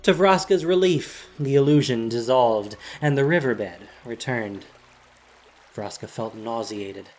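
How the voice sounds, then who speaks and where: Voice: medium pitch, unhurried, faint music behind. A narrator reading a scripted, dramatic story for an audio drama.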